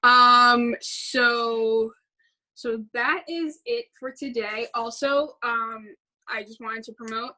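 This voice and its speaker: A woman's voice: fairly high pitch, takes it slowly, bright, forceful tone, poor audio. A young woman hosting a casual chat about musical movies, rambling with many fillers and restarts. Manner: rambling, filler-heavy, laughs often. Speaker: host.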